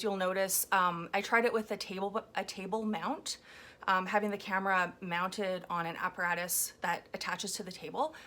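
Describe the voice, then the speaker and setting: Chatty, slightly hoarse woman crafting at home. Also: mid-range voice, a bit bright. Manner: chatty, rambling, frequent ums. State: hoarse, raw throat. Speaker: collage artist. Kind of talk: casual commentary while making a collage. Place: home, indoors at a table. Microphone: overhead camera on a floor mount.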